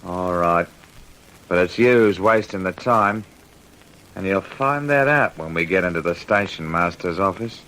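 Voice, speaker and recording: An actor playing a truculent Australian housebreaker and ringleader in an old radio crime drama, curt, slangy and bullying. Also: male voice, low-pitched, a little slow, fairly bright tone, slight background noise, poor audio. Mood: defiant. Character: cocky, truculent male housebreaker, ringleader with a gun.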